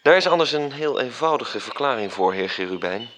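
A man's voice: mid-range voice, expressive, brisk, fairly bright tone, close to an everyday voice. An actor narrating a radio drama as an angel telling a story to his superior. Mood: calm.

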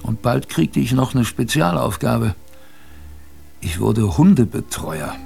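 An actor playing an old seafarer in a radio drama, colloquially reminiscing about his youth in a gravelly voice. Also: mid-range voice, big pitch swings, a little mellow, faint music behind, heavily acted. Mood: fond reminiscing. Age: older. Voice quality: gravelly, creaky. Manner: colloquial, unhurried raconteur.

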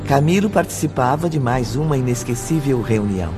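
A man with a Brazilian accent reading a scripted radio drama narration in a measured, solemn way. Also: medium pitch, animated, music in the background.

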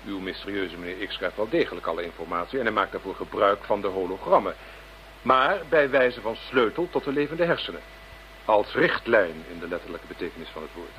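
An actor playing a self-assured, detached parapsychology expert in a Dutch radio drama, with a precise, lecturing manner. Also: male voice, lowish voice, animated, a little fast, a bit bright. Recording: muffled, noisy old radio drama recording.

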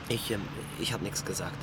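An actor playing a defiant but insecure young petty thief, sullen and slangy, in a German crime radio play. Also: male voice, fairly low pitch, noisy background. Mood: hesitant.

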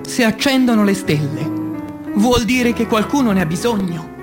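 A man reciting poetry as radio theatre in speech, declamatory, with dramatic pauses, moving between hushed and emphatic delivery. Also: high-pitched, expressive. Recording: music under the voice. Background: music.

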